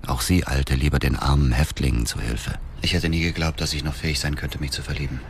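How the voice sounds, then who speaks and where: An actor playing a cold, bitter middle-aged widower bent on revenge, brooding and controlled, in a radio drama. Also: male voice, very deep voice, fairly even intonation, a little fast, vocal fry, slight background noise. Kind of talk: radio drama narration and dialogue.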